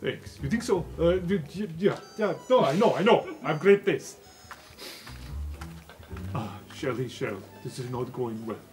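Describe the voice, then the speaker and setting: A man voicing a gruff, lovestruck elephant warrior student in a tabletop game, stammering and blurting out words in clipped grammar. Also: fairly high pitch, expressive, a little mellow, soft background music. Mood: bashful, flustered. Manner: halting, stammering, blurts names out.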